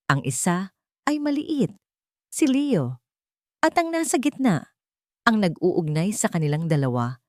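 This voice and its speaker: A woman's voice: mid-range voice, a little mellow, dry recording, clean studio sound. A woman storyteller reading a scripted romantic drama as narration.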